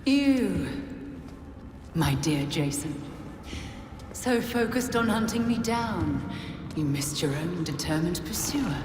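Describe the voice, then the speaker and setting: A woman playing an imperious, scheming video game villain, cold and zealous, with formal, haughty, deliberate delivery. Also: lowish voice, expressive, noisy background. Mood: taunting. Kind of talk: dramatic video game cutscene acting. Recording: echoey hall reverb with music.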